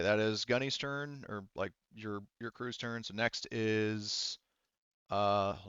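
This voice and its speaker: A man with a fairly low, smooth voice runs a tabletop roleplaying game. He works through rules out loud in an informal, swearing-friendly way. The recording is dry and a little lo-fi. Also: dry recording. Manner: informal, swears casually, thinks aloud. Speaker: game master.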